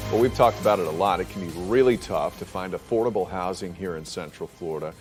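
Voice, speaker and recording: A man's voice: sits low, quick pace, over music. A man presenting TV news as an anchor in a conversational style.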